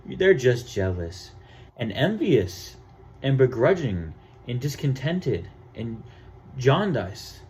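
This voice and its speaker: A man's voice: medium pitch, very animated, takes it slowly, darkish tone, slight background noise. Storybook role: loving father played by a male reader, reassuring and emphatic, with varied intonation.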